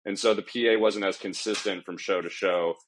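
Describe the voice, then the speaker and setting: A man speaking as a panel guest on live sound, explaining off the cuff with halting, repeated phrasing. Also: on the low side, steady tone, background noise. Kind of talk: panel discussion, unscripted explanation.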